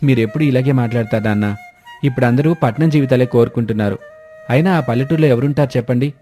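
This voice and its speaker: A man's voice: mid-range voice, fast, warm tone, faint music behind, close to an everyday voice. A man voicing an impatient young son who dismisses old ways, in a cartoon moral story. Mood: defensive.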